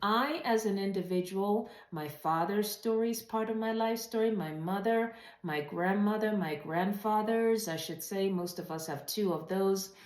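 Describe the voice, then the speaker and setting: A woman hosting an informal instructional webinar, speaking straight to viewers in an encouraging way and slowing down to stress key phrases. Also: medium pitch, darkish tone. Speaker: host of a writing advice channel. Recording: roomy, echoey sound.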